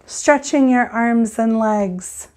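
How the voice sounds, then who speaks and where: A woman teacher reading aloud and explaining to children in an expressive, deliberate, instructive way. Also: a little high.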